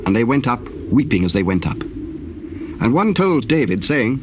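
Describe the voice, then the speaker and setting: A man's voice: mid-range voice, big pitch swings, a little slow. A man narrating scripture as a solemn, scripted story on a noisy old tape.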